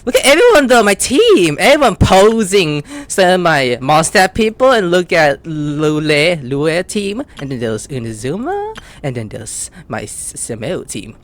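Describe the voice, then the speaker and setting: An excitable gaming YouTuber giving casual, slangy commentary, jumping between muttered asides and squeals while playfully talking to game characters. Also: measured pace, hardly any pauses. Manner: exclamatory, swings from muttered asides to high squeals.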